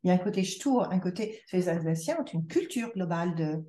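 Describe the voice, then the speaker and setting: A woman's voice: lowish voice, expressive, fairly quick, warm tone, slight background noise. Woman, podcast guest, thoughtful talk, frequent restarts, echoey room. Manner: reflective, many restarts and repetitions. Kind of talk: conversational interview.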